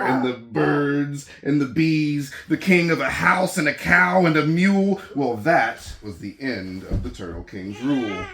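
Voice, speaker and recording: A man reading a children's story aloud in an animated storytelling style. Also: medium pitch. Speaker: storybook reader. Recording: echoey room.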